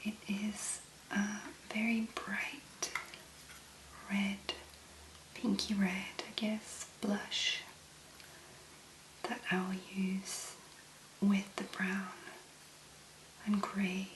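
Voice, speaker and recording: A woman speaking softly and breathily in an ASMR make-up tutorial, gently musing in a hesitant, unhurried way. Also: medium pitch, monotone, roomy sound, noisy background. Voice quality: soft, breathy, mostly whispered. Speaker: ASMR content creator, make-up demonstrator.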